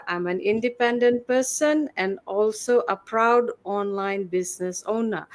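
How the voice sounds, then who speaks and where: A woman from Fiji giving a prepared promotional presentation as a panelist, formal and sounding read from notes. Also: on the high side. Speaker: panelist, online business owner.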